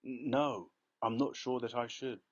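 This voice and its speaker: A man voicing a mild, thoughtful father in a storybook, speaking gently and hesitantly. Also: medium pitch, steady tone, measured pace, muffled recording, lightly acted. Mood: gentle.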